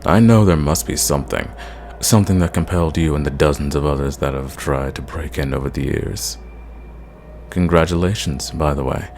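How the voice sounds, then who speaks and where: A man playing an ancient, sadistic, condescending vampire in an ASMR roleplay, speaking in a theatrical, measured way and drawing out his words. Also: very deep voice, darkish tone, a little hiss or hum.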